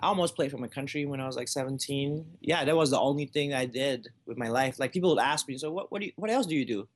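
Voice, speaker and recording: A man from Malaysia in his thirties, a juggler answering interview questions. He talks in an easygoing, casual way, full of "like" fillers and small restarts, at a middle pitch. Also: fast talker, slight background noise. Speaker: juggler, guest.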